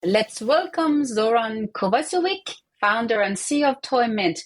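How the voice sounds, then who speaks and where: A woman's voice: fairly high pitch, lively intonation, moderate pace. A woman co-hosting a podcast, enthusiastic and eager as she introduces the guest. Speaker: co-host.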